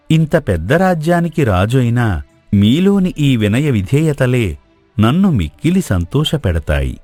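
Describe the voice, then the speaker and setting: A man playing a wise, courteous royal guru in a storybook drama, speaking in a measured, formal, philosophical way. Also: mid-range voice, darkish tone, no echo. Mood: gracious, respectful.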